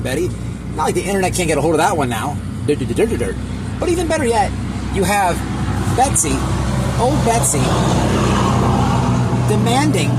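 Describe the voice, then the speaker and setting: Male online commentator, high voice, emphatic and repetitive casual rant, noisy ambient recording. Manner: repetitive, halting, emphatic, crude slang. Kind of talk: casual opinionated reaction rant.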